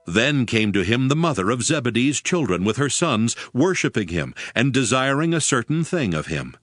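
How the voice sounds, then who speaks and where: A man's voice: medium pitch, big pitch swings, moderate pace, fairly bright tone, no room sound, studio quality. A man narrating a dramatized scripture reading in a formal storytelling manner.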